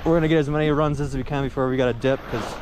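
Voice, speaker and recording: A man's voice: mid-range voice, racing, background noise. A young man in his twenties chatting casually to an action camera outdoors at a ski resort. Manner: relaxed, chatty, upbeat. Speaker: vlogger. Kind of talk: casual vlog narration while skiing. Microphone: action camera on chest harness.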